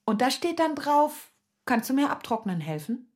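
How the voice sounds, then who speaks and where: An actress playing a resolute, lively young widow, teasing and affectionate, in radio play dialogue. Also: female voice, sits high, normal speed.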